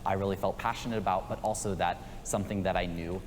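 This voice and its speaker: A young man giving a thoughtful, measured answer on a discussion panel. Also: low voice, steady tone, soft background music. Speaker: panel participant. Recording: reverberant room with faint hiss.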